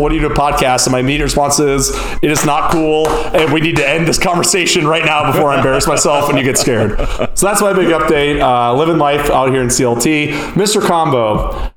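A man co-hosting a podcast, telling stories loudly and animatedly, laughing often. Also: a little high, fairly quick. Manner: loud, animated storytelling with laughs. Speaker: podcast co-host. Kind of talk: casual podcast chat. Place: home room. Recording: echoey room.

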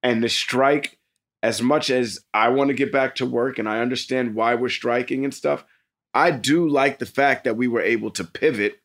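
A man's voice: medium pitch, fairly bright tone. A man with an American accent co-hosting a podcast in casual banter, talking in an emphatic, slangy way with some swearing. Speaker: podcast co-host.

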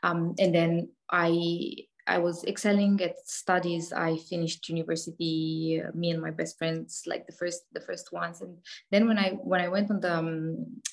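A woman's voice: lowish voice, flat delivery, measured pace, creaky. A woman in her thirties with a Romanian accent, a podcast guest talking casually with lots of laughs, fillers and restarts. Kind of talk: casual interview talk.

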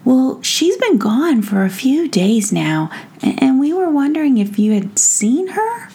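Storybook boy gnome acted by a woman: small, proud, earnest, a touch hesitant, raised pitch, expressive. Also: unhurried, husky, full character voice.

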